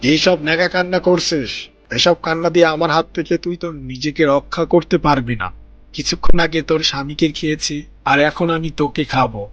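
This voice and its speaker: A man's voice: a little high, moderate pace, fairly bright tone, lo-fi sound, natural voice. A man voicing a sly, merciless old male fox with a taunting manner in a children's cartoon story. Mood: menacing.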